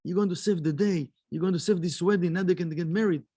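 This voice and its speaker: A man's voice: high-pitched, quick pace, mellow, no echo, clean studio sound. A man voicing a humble, devout old rabbi telling what happened to him, in an earnest storytelling way.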